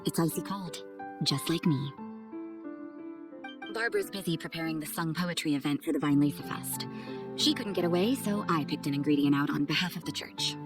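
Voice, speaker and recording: A woman's voice: sits low, normal speed, over music, lightly acted. A woman playing a cool, aloof, blunt woman with hidden kindness in a video game, speaking with dry detachment. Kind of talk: video game character acting.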